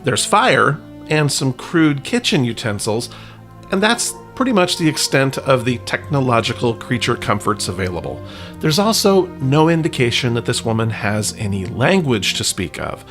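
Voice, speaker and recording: A man's voice: medium pitch, sing-song, normal speed, fairly bright tone, soft background music. A man hosting a podcast, reading scripted narration in a wry way with playful asides. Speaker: podcast host.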